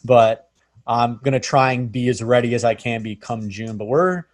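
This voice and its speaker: A man's voice: mid-range voice, moderate pace, dry recording. Laid-back man hosting a video chat, dry close audio. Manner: easygoing, rambling with fillers. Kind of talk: casual chat. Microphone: video call.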